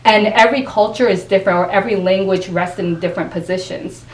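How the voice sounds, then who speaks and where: A woman with a Japanese accent, a native Japanese speaker, explains voice technique at a mid pitch in a patient teaching tone. Her speech circles back and restarts as she builds each point. The recording has a roomy echo. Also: fast talker, a little hiss or hum. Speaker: voice and speech coach guest. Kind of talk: conversational interview. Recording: echoey room.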